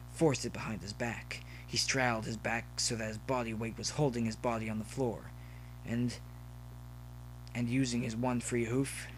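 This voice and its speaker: A man's voice: mid-range voice, low-quality recording. Man narrating fiction, faint background noise. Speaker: audio fiction reader. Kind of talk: scripted story narration with asides.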